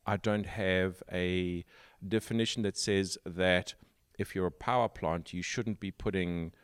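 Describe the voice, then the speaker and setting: A South African man hosts a casual tech podcast chat. His voice sits very low, and he takes his time explaining with fillers. The sound is dry and close, with no room around it. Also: no room sound. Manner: explains at length with pauses and fillers. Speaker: podcast host.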